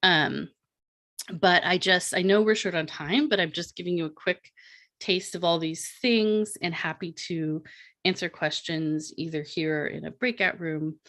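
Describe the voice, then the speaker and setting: A woman presenting her art projects in a relaxed online panel talk, with many fillers and restarts, on a video call mic. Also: fairly low pitch, unhurried. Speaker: artist and presenter.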